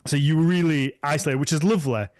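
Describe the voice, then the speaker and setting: A man's voice: a little high, fast talker, a little mellow, no room sound. Rambling male podcast host, dry sound. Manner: rambling, trails off mid-thought. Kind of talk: casual podcast chat.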